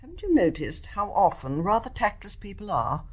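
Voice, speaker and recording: Genteel, expressive older English mother. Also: medium pitch, husky. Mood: confiding. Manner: measured, genteel. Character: genteel older mother, kindly and quietly observant. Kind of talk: radio play acting.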